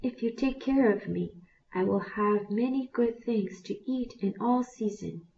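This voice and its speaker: A woman voicing a dying male fire spirit in a storybook tale, pleading and hushed. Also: medium pitch, measured pace, creaky, pitched above the performer's own voice. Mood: promising. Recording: distant, dull lo-fi recording.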